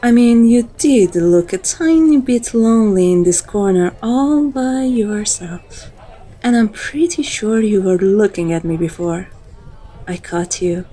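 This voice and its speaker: A dominant female vampire, played by a woman, speaks in a relaxed, dark-toned voice at a leisurely pace. She is flirtatious and teasing, drawing the listener in with intimate endearments as in an ASMR roleplay. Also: medium pitch, measured pace, lightly acted, rough recording.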